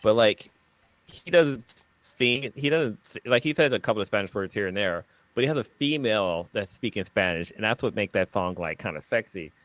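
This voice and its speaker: A man's voice: on the low side, expressive, normal speed, fairly bright tone, no room sound. A man co-hosting a podcast, laid-back and joking in casual slang. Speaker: podcast co-host. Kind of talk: casual chat.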